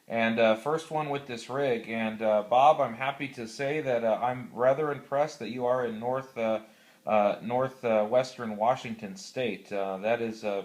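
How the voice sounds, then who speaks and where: An American man talking casually on amateur radio, explaining methodically and haltingly, with radio procedure words. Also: mid-range voice. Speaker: classroom teacher.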